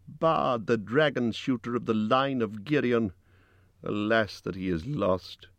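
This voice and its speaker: Man voicing clamorous townsfolk, high-pitched cries. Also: a little slow, no room sound, lightly acted. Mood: mournful. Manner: clamorous, calling out. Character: excitable, aggrieved crowd of lakeside townsfolk.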